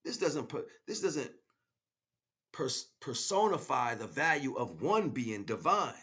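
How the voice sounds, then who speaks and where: An American man giving an emphatic, freestyle spiritual monologue in street slang, repeating phrases as he goes, with a husky voice. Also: medium pitch, big pitch swings, measured pace, bright, forceful tone. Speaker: spiritual livestream speaker.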